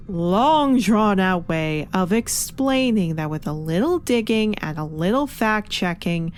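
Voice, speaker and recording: A woman reviewer delivering scripted video essay commentary in an opinionated, argumentative way. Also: mid-range voice. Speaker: comic book reviewer.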